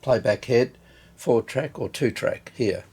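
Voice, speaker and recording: A man giving a casual, unscripted hands-on demonstration as a hobbyist video host, hesitant and halting as he thinks aloud. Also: mid-range voice, gravelly, darkish tone, slightly rough.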